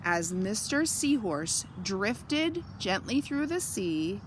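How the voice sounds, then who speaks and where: A woman reading a picture book aloud to children, gently explaining and asking questions in asides. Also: mid-range voice, big pitch swings, slow pace, slight background noise. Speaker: children's story reader.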